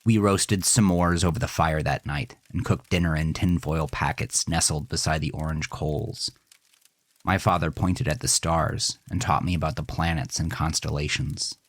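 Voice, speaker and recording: A man reading a scripted first-person horror story in a measured, deliberate, dramatic way. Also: very deep voice, fairly even intonation, no echo. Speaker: narrator of a horror fiction podcast.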